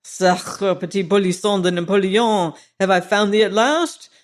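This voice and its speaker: A hoarse old French soldier, loud and fawning, spurring on a gambler with exclamations, as a narrator puts him on. His voice sits high and carries brightly in a storybook reading. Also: unhurried. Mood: jovial, eager. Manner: effusive, flattering, exclamatory.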